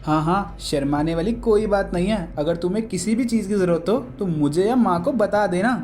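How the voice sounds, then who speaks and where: A woman voicing a considerate young adult son in an animated moral story. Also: mid-range voice, moderate pace, faint music behind, lightly acted. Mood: reassuring. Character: considerate young adult son looking after his family.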